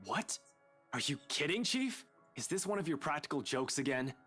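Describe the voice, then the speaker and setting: A man's voice: on the high side, sing-song, normal speed, a bit bright. An actor playing an earnest, sarcastic young male detective in a visual novel game. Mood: indignant, pleading. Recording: game audio with music.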